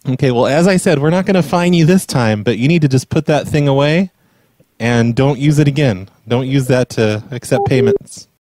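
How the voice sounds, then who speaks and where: A man making a prank phone call as an earnest caller, talking in a hesitant, rambling, deadpan way. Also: medium pitch, moderate pace. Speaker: prank caller and podcast host.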